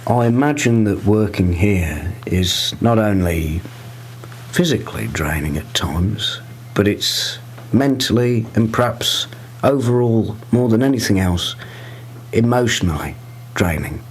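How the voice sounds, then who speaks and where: A man's voice: on the low side, animated, measured pace, a little hiss or hum. A man working as a radio reporter, reading scripted narration and putting interview questions.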